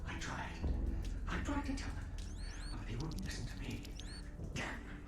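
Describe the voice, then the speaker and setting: Raving bitter asylum guy played by an actor, melodramatic gothic game, far from mic, loud music. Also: lightly acted. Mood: bitter, haunted. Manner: melodramatic, anguished, halting. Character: raving, bitter male asylum inmate prophesying doom. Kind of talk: theatrical gothic game monologue. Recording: echoey, distant, loud music behind.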